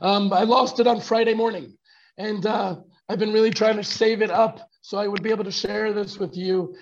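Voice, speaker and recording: A hoarse man who has lost his voice, casually chatting and greeting viewers by name in a live online talk. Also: high-pitched, steady tone, normal speed, a little hiss or hum. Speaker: fellowship teacher. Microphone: video call. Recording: dull video call audio.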